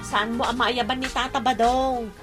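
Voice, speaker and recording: A woman's voice: fairly high pitch, flat delivery, moderate pace, over music, lightly acted. A woman playing a matter-of-fact, teasing neighbour in an Ilocano Filipino comedy drama. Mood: amused, matter-of-fact.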